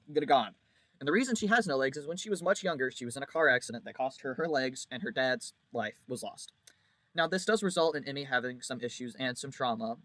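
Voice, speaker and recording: A man's voice: fairly high pitch, pushed and bright, no room sound. A man giving a casual, rambling game review in a blunt, jokey, informal way, leaning on words for emphasis. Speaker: video creator reviewing a visual novel.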